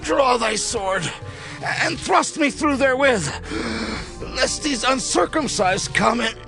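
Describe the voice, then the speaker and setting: A desperate, mortally wounded king, cries out in a very high, piercing voice. The words come slowly and in anguish over faint music, in a scripture drama. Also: animated, vocal fry, bright, forceful tone, full character voice. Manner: anguished, drawn-out delivery. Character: wounded, desperate dying king begging for death.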